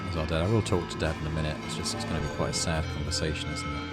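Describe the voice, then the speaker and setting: A man's voice: very deep voice, little pitch movement, very fast, loud music behind the voice. A middle-aged British man hosting a gaming video, reading story text aloud and adding casual commentary.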